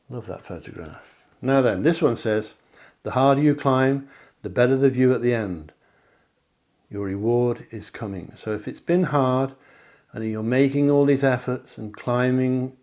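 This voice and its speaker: A middle-aged man, a psychic reader, chatting through a card reading with reassuring asides and a slightly hoarse voice. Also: lowish voice, a little mellow. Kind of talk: tarot card reading to camera.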